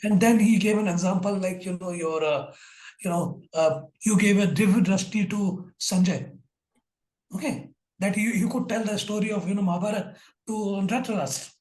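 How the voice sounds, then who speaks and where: A middle-aged man with an Indian English accent and a husky voice tells a story in a relaxed, rambling way over a video call, with many "you know" fillers. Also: sits high, moderate pace, echoey room, rough recording. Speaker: guest.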